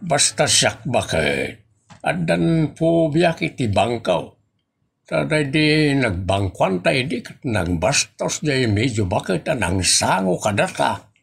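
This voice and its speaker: A man with an Ilocano accent acting a grumbling, excitable older husband and father in a comedy audio drama. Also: medium pitch, slow pace, vocal fry, breathy edge, exaggerated voice. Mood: indignant. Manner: exclamatory, complaining.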